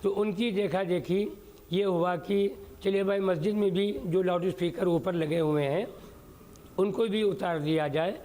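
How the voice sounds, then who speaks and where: A man's voice: sits high, steady tone, measured pace, warm tone. A man giving a spontaneous spoken statement as a local interviewee for the news.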